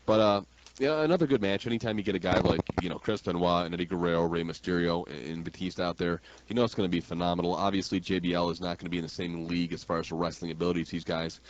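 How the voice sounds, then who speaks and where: A man's voice: low voice, steady tone, rapid-fire, a little hiss or hum. A man from New York State with an American accent, talking wrestling as a brash, emphatic, slangy radio host. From New York State, United States. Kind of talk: opinionated wrestling talk radio banter. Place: radio studio. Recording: dull lo-fi radio audio.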